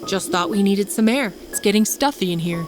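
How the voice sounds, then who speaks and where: A glib, evasive man with something dark to hide voiced by a woman storyteller, slick but uneasy when pressed. Loud music runs under this horror audio story. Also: medium pitch, a little fast, natural voice. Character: smooth-talking male killer, evasive, nervous under pressure.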